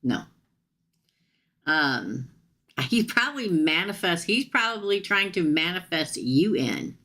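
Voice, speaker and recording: Tarot reader, woman, low gravelly voice, chatty with viewers, tired and getting hoarse. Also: big pitch swings, slow, pushed and bright. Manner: chatty, addresses viewers directly. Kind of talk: live-stream tarot reading.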